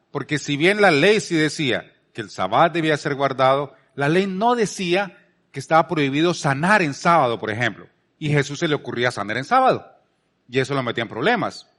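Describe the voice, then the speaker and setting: A Colombian man preaches in a conversational style, his voice set a little higher than most men's. He drives points home by repeating phrases and poses rhetorical questions as he teaches. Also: a bit bright. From Palmira, Colombia. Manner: emphatic repetition, rhetorical questions. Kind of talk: conversational sermon.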